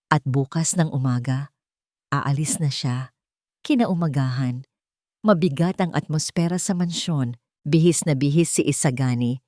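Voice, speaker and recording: A woman's voice: low voice, a little mellow, no room sound, studio quality. A woman with a Filipino accent narrating a dramatic scripted story. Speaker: narrator of love stories.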